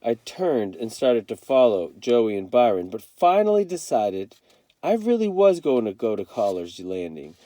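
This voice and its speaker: Expressive male storyteller, dry close sound. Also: mid-range voice, moderate pace, no echo. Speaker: read-aloud storyteller. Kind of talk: expressive first-person audiobook narration.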